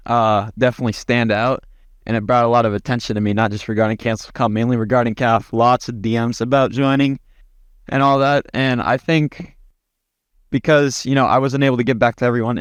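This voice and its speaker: A man's voice: medium pitch, clean tone, no echo. A man giving casual interview answers in a blunt, offhand way, swearing freely. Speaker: interview guest, former commander in an online roleplay community.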